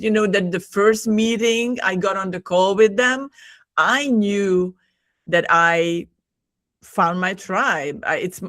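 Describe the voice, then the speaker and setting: A woman's voice: fairly low pitch, a bit bright, no echo. A woman with a Hungarian accent, a native Hungarian speaker, chatting enthusiastically as a podcast guest over a video call, laughing often and repeating words. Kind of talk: casual conversation.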